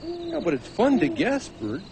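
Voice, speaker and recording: High-pitched, eager puppet pal. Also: male voice, wildly swooping pitch, measured pace, darkish tone, a little hiss or hum, full character voice. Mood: eager, curious. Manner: eager, playful, inquisitive. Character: chatty, eager, pestering puppet boy camper. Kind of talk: puppet comedy sketch.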